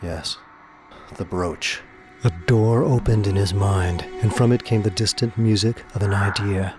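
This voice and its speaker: A man's voice: on the low side, steady tone, normal speed, over music. A man delivering scripted, dramatic story narration for a science fiction audio drama.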